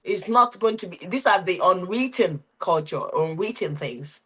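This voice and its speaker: A woman's voice: on the low side, lively intonation, normal speed, slightly rough. A woman facilitating a career workshop on a video call, who keeps checking in with the group and asking questions. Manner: coaching, asks the group frequent questions.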